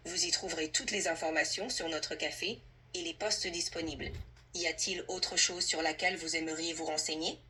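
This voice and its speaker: A woman's synthetic assistant voice, husky, speaking fluently and politely as a tutor in a conversational role-play. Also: low-pitched, steady tone, moderate pace, pushed and bright. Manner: polite, fluent, helpful, orderly. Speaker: AI language tutor playing an employer.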